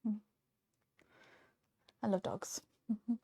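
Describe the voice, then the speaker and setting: A woman's voice: drawn-out words, dark tone, dull audio. A woman co-hosting a casual show in a chatty way, hesitant and often stumbling over repeated words. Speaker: show co-host.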